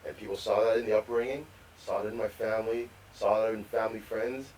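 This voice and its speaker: A man co-hosting a live podcast, telling rambling stories about growing up, with repeated words and animated quotes. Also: fairly low pitch, fast talker, a little hiss or hum. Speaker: podcast co-host. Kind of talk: storytelling in casual chat. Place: live podcast recording venue. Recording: distant mic with faint room ambience.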